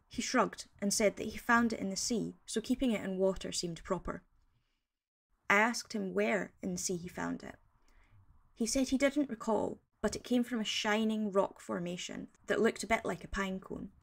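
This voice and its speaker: Actress as a composed woman naturalist filing audio-drama field reports; precise, measured storytelling with noticeable vocal fry. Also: mid-range voice. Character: composed female field researcher of strange nature. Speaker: field correspondent. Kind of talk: scripted fiction podcast report.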